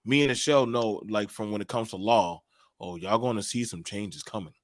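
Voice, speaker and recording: Halting low-voiced man hosting a panel. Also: no room sound. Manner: halting, many fillers and restarts. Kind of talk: casual online panel chat.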